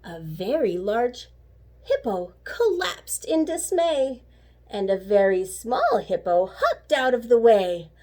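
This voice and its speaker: A woman reading a children's story aloud like a teacher, animated and rhythmic with the rhymes. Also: fairly high pitch, slow pace. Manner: animated, rhythmic rhyming delivery.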